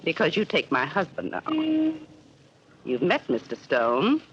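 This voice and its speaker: A woman's voice: lowish voice, wildly swooping pitch, a little slow. An actress playing a falsely sweet, chattering neighbor woman with a hidden menace, in 1940s radio acting style. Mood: sugary. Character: sinister gossipy neighbor woman, sugary and menacing. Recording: muffled old radio recording with faint hiss.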